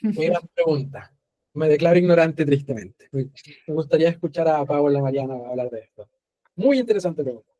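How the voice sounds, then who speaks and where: A man with a Chilean accent giving an online lecture over a video call, talking fast with frequent fillers and restarts. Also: a little high, animated, mellow, poor audio. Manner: fast, halting, with many fillers. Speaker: guest speaker.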